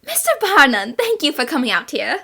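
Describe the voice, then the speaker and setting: Bright, high-pitched young woman detective voiced by an actress. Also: big pitch swings, a little fast, vocal fry, exaggerated voice. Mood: composed. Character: self-assured young woman detective with a cheerful streak. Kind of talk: anime dub acting.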